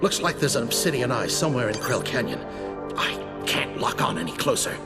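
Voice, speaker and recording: A man voicing a gruff older alien general in a video game, bold and commanding, with an urgent manner. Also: mid-range voice, very animated, a little fast, loud music behind the voice. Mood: tense, focused.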